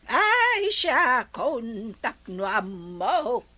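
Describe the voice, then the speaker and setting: A warm old grandmother speaks with an Ilocano accent. Her voice is bright and rises and falls a lot. She talks very slowly and chuckles often in this radio drama. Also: on the high side, sing-song, full character voice. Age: older. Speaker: grandmother character.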